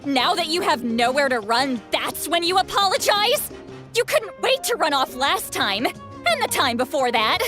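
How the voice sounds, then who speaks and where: A woman playing a stern, huffy grandmother with a soft spot for her grandson in a dramatic video game. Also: high voice, very animated, measured pace, faint music behind, full character voice. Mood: scolding.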